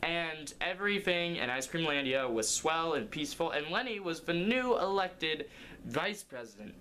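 A man's voice: a little high, expressive, normal speed, sharp tone, slight background noise, rough recording. A young man improvising a comic story as its narrator, recorded indoors in a living room. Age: young adult.

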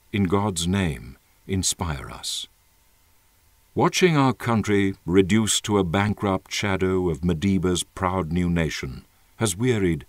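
A man reads a formal letter aloud in a deep voice. His intonation moves widely, with earnest, measured emphasis on the phrases. The recording is dry and close. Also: no echo. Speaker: narrator reading an open letter. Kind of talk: dramatic scripted reading.

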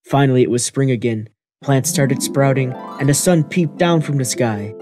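A man's voice: medium pitch, moderate pace. A man reading scripted narration for a children's fairy tale. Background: music.

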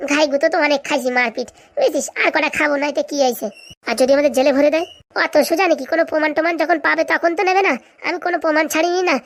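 A woman voicing a greedy, scheming village woman in a cartoon comedy, chatty and bickering in colloquial speech. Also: high-pitched.

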